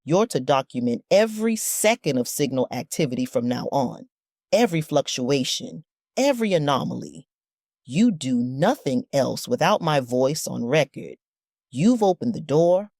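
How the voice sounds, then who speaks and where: A woman's voice: rumbling low, big pitch swings, normal speed, darkish tone, dry recording, studio quality, full character voice. A woman playing a stern, cautious director in a sci-fi audio drama, speaking with commanding authority. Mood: grave, commanding.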